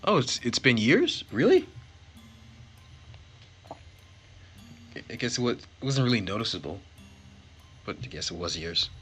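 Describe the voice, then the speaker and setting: Guy doing casual let's play chat, bright forceful voice, music behind him. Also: fairly low pitch, huge pitch swings, a little slow, long pauses. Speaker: let's player. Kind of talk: casual gameplay commentary.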